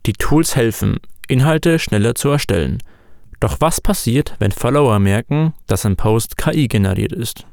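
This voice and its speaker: A man's voice: medium pitch, expressive, moderate pace, vocal fry, darkish tone, dry recording, clean studio sound. A man reading scripted narration for a short company report.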